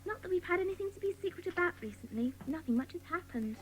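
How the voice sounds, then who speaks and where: A woman playing an excitable, chatty young schoolgirl in a dramatised children's audiobook, exclaiming emphatically. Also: extremely high pitch, fast talker, slight background noise. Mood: eager, protesting.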